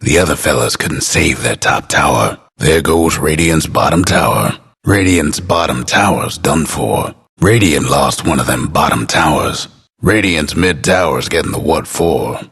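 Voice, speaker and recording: An actor playing a laconic, folksy, world-weary male game announcer with an American accent and a gravelly, husky voice. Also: extremely low pitch, lively intonation, a bit bright, exaggerated voice. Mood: wry, understated. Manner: folksy, unhurried, understated. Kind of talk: scripted game announcer lines.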